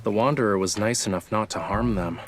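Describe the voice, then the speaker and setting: Man acting languid, teasing young painter, lowish voice. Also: brisk, faint music behind, natural voice. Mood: teasing, sly. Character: teasing young male painter, smug and playfully coy.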